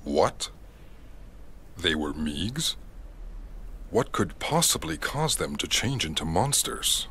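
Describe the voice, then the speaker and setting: A man's voice: deep voice, sing-song, slow, long pauses, bright, forceful tone, husky, heavily acted. A man voicing a grave, middle-aged fatherly king in a fantasy game, formal and regal. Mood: concerned. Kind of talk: video game voice acting.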